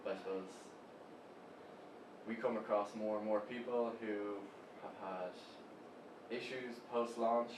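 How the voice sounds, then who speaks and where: A man's voice: lowish voice, steady tone, slow, long pauses. A young man with an Irish accent, a guest speaking casually in an interview, easygoing and hesitant with many fillers. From Ireland. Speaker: guest, web agency co-founder. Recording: roomy, distant mic with background noise.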